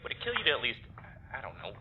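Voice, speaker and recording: An actor playing a wounded, exasperated man in an audio drama, halting, earnest and defensive, through a radio-like filter. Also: mid-range voice, wildly swooping pitch, gravelly, lo-fi sound. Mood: hurt, exasperated. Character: wounded, exasperated person confronting would-be killer. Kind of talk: naturalistic audio drama acting. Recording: thin radio-like sound with noise.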